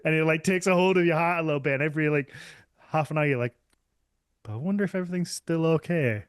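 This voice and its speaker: A man's voice: a little high, fast talker, smooth, dry recording. Rambling male podcast host, dry sound. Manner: rambling, trails off mid-thought. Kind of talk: casual podcast chat.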